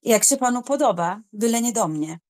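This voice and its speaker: A woman's voice: a little high, expressive, moderate pace, darkish tone, natural voice. A woman acting a proud, scornful young noblewoman in an audiobook drama, speaking in a cold, formal, haughty manner. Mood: cold, offended.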